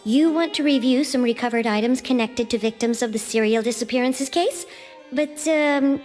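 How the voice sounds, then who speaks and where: A woman's voice: high-pitched, big-room sound. A woman voicing a polite, helpful records clerk in a video game.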